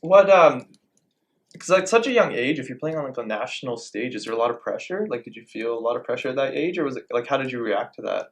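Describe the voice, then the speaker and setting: Fast-talking joking podcast co-host. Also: mid-range voice, expressive. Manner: quick, joking, laughs loudly. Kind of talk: casual interview.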